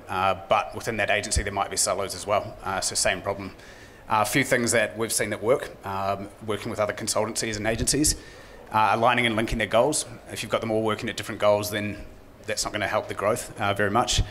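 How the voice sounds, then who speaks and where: A man's voice: fairly low pitch, bright, forceful tone, a little hiss or hum. A young man with a New Zealand accent giving a conference keynote from a podium into a handheld mic, casual with many "uh" fillers. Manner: brisk, many "uh" fillers, conversational. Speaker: keynote speaker.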